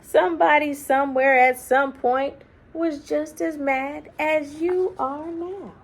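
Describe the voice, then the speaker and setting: A woman reading a children's story aloud expressively, with deliberate dramatic phrasing and hushed, drawn-out words. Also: high voice, steady tone. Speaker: children's book reader. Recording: faint background noise.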